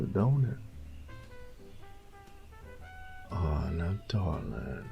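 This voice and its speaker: A very deep-voiced boyfriend, played by a male voice actor, doting and playful as he speaks straight to his darling. His delivery is unhurried and drawling, swinging between teasing and tender coaxing in an intimate roleplay, with music softly behind him. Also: gravelly, exaggerated voice. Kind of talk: intimate scripted audio roleplay addressed to the listener.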